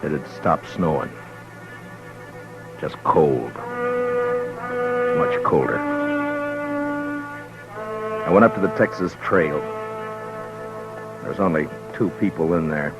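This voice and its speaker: A brooding frontier marshal from an old western radio play. His voice is very low and unhurried, with terse, gruff lines that still carry tenderness. Music sits faintly around the recording. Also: expressive, highs cut off, heavily acted. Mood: grim narration. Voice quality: deep-voiced. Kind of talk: 1950s western radio drama acting.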